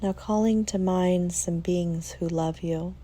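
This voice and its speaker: A woman leading a guided meditation in a soft, soothing way, leaving long pauses between phrases. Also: on the low side, fairly even intonation.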